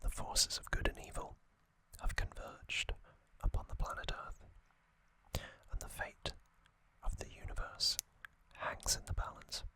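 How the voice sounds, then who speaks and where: Whispered ASMR trivia, very bright airy whisper, unhurried, repeated trigger words. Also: low-quality recording. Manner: reads aloud from a box cover. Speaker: ASMR host.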